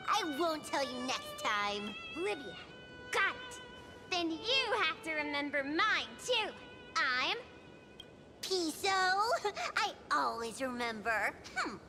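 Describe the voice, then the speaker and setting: Game role: innocent little child, tiny very high voice, animated and teasing. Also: female voice, heavily acted. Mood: playful. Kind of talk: game cutscene voice acting. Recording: voice under loud music.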